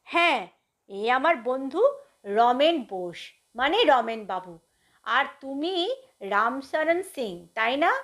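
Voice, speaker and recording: A curious young male visitor, played by a woman reading a story. The voice is high-pitched and confident, asking questions in a familiar way at an unhurried pace. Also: big pitch swings, a bit bright, exaggerated voice. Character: brisk, curious young man visiting his uncle's house.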